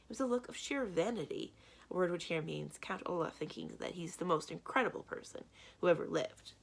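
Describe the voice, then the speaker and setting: A woman reading a children's book aloud as a library storyteller, with expressive narration. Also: fairly low pitch, a little fast, gravelly.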